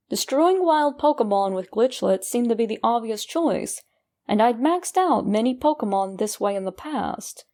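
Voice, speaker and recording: A woman with a slightly high, mellow voice narrates a first-person horror story. She reads from a script at an unhurried, slow pace. Her delivery is deliberate, with measured pauses between phrases. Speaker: creepypasta narrator.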